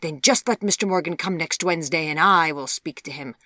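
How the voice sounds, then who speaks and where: A woman's voice: low-pitched, brisk, pushed and bright, no room sound, studio quality, pitched above the performer's own voice. A woman voicing a stern, indignant middle-aged mother in a storybook, scolding her daughter in formal, emphatic words. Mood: outraged.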